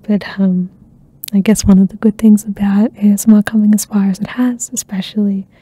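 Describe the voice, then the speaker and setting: A young woman hosting an ASMR channel, chatting softly and rambling with lots of fillers, often dropping into a whisper close to the mic. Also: mid-range voice, level tone, moderate pace, breathy edge, no echo. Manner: soft-spoken, slips into whispers, many fillers. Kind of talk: soft-spoken rambling catch-up chat. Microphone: close ASMR microphone.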